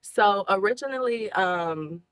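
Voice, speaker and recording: A woman's voice: mid-range voice, lively intonation, a little slow, fairly bright tone. A woman from Houston, chatting casually as an interview guest in an informal, rambling way. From Houston, Texas, United States. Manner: informal, rambling, frequent "you know". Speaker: show guest, rapper.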